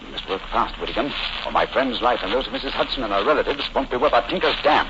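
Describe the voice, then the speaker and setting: Brisk British gentleman detective, male actor, rapid clipped authority, vintage radio drama with heavy noise. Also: mid-range voice, lively intonation, lightly acted. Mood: urgent. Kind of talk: 1940s radio drama acting. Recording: noisy, muffled old radio broadcast.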